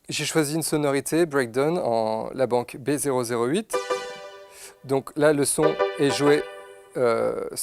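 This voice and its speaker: A man giving an unscripted, methodical product demonstration, pausing to find his words as he explains each step. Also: fairly high pitch. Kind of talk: unscripted instructional product demo. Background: synthesizer demo sounds.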